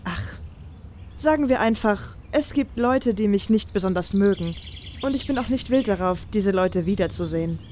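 Woman acting as caring young companion, resolute, dark mellow tone, soft affectionate delivery, fantasy audio drama, birdsong and faint noise behind. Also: medium pitch, animated, moderate pace, close to an everyday voice.

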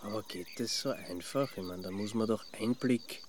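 A man's voice: on the low side, moderate pace, a little hiss or hum, close to an everyday voice. An actor playing an inquisitive, sceptical city man in a radio drama, questioning. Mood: curious, sceptical. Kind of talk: conversational radio drama acting.

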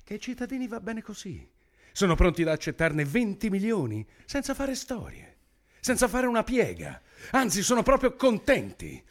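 An actor playing a bullying, foul-mouthed government official, self-satisfied and bloodthirsty, with emphatic, deliberate repetitions in a theatrical radio drama. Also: male voice, sits high, sing-song. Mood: indignant, venomous.

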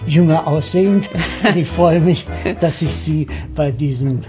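An actor plays a courtly gentleman in his eighties in a naturalistic radio drama. He speaks with formal, old-fashioned politeness. Music is clearly audible behind him. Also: on the high side, a little slow, natural voice. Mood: courteous. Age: 80s.

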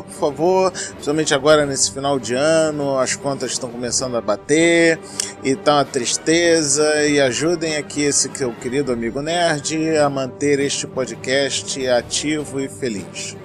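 A man with a Brazilian accent hosting a podcast, chatty and playful in his announcements. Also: medium pitch. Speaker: podcast host. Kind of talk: casual scripted podcast intro and announcements. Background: music bed behind the voice.

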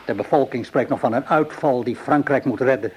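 An actor playing a middle-aged, cynical Parisian tavern keeper in a radio play, speaking in a weary, sardonic, measured way. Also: male voice, medium pitch, a little mellow, slightly rough. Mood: grim, weary. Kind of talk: Dutch radio play acting. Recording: noisy old radio play recording.

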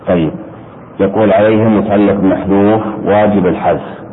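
A man's voice: fairly low pitch, moderate pace. A man teaching Arabic grammar in an interactive class, explaining didactically, repeating his points and putting questions to his students. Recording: muffled phone-like sound with steady background noise.

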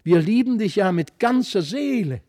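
A man's voice: sits high, lively intonation, normal speed, warm tone, no echo, studio quality, voice pushed up. Man voicing devoted, imploring young children. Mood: joyful devotion. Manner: plaintive, repeating, imploring. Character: two distressed, devoted young children, pleading.

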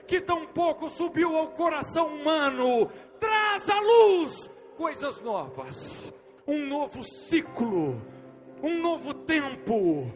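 A woman preacher leading a fervent prayer, impassioned and rising to shouts. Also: sits high. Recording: strong music behind the voice.

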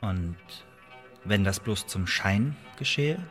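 A man acting in a radio play as an articulate, loyal imprisoned English agent and playwright, speaking in measured, formal, persuasive phrases. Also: lowish voice, animated, fairly bright tone, soft background music. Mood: earnest, persuasive.

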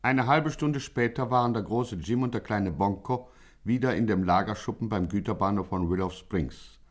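An actor as the wry narrator of a 1950s German radio gangster satire, telling the story with dry irony. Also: male voice, fairly low pitch, normal speed, lightly acted. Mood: matter-of-fact. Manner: dryly ironic, storytelling.

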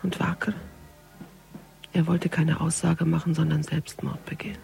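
An actress playing a weary, grieving woman police investigator, brooding in a hushed, intimate German radio crime drama. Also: deep voice, level tone, moderate pace, soft background music. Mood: bitter, weary. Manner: hushed, breathy, half-whispered.

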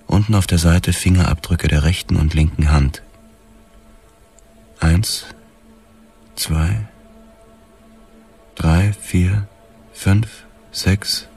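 An actor playing a haunted, hard-boiled police detective, narrating a noir radio drama in measured, clipped phrases. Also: male voice, deep voice, a little mellow, slightly rough, exaggerated voice. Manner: measured, clipped, grim storytelling. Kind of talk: scripted first-person noir crime narration, radio drama.

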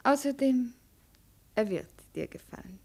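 An imperious young princess in a vintage radio play. Her voice sits slightly high and her delivery is crisp. She is cool and haughty, used to giving orders. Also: unhurried, a little mellow, full character voice. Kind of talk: 1950s German radio play acting.

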